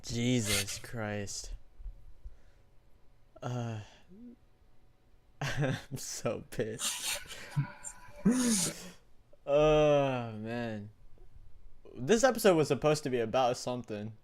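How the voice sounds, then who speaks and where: A man's voice: mid-range voice, expressive, drawn-out words, long pauses, a little hiss or hum. A young man co-hosting a casual comedy podcast, laid-back and teasing, cursing freely. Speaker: podcast co-host.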